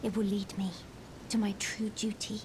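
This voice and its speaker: A woman voicing a gentle, frail young maiden in a fantasy game, soft, breathy and very polite. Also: mid-range voice, level tone, normal speed, background noise, natural voice. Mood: polite, hopeful. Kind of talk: scripted fantasy game dialogue. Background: music.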